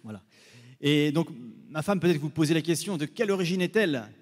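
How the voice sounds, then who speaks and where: A man with a slightly high voice addresses a congregation as a guest preacher. He speaks haltingly, pausing to search for words. The sound carries the long reverb of a large hall. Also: lively intonation. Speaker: visiting preacher.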